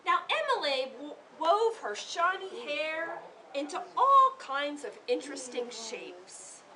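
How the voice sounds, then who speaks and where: A woman reading a children's story aloud in an expressive, theatrical way, pausing to engage her listeners. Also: high voice. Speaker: author reading to a group of children. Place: room with children responding. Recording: distant microphone, noisy room.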